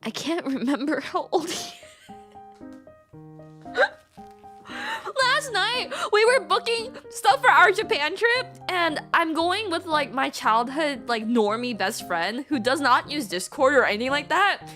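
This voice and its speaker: A young woman in her twenties streams and rambles through a family story in a high, light voice. She talks in a chatty way, piles on "like" and stretches out the lines she quotes for effect. Her tone is bright and clear, with soft music under it. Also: very animated. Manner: chatty, full of "like" fillers, acts out family quotes with slowed emphasis. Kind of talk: casual storytelling on a livestream.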